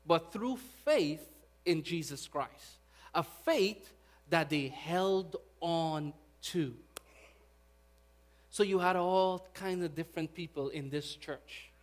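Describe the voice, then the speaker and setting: A man from Guyana with a Guyanese accent, preaching a sermon to his congregation and swinging between quiet prayer and loud, emphatic preaching. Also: a little high. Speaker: pastor.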